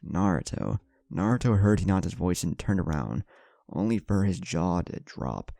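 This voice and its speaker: A man's voice: low-pitched, expressive, normal speed, creaky, dark tone, no echo, clean studio sound. A man reading scripted fan fiction as a narrator. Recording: music under the voice.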